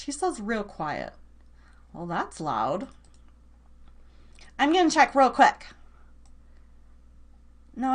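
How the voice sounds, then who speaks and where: A woman's voice: high-pitched, a bit bright. A woman hosting a live radio show, chatting informally and rambling in a self-conscious way. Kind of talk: casual live show chat.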